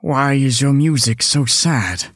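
Storybook beast, acted by a performer: gentle, lonely, slow and halting, mid-pitched, close dry sound. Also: dry recording. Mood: tender, pleading. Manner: halting, hesitant, pleading. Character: lonely, gentle, self-doubting male beast in love. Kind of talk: children's fairy tale audio drama.

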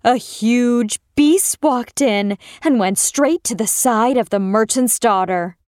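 A woman narrating a fairy tale for children as a storyteller, with expressive delivery. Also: a little high, takes it slowly, no echo, clean studio sound.

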